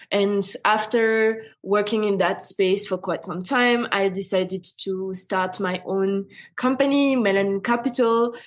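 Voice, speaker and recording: Woman from France, interview guest. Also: mid-range voice. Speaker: interview guest, fintech founder and CEO. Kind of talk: conversational interview answers.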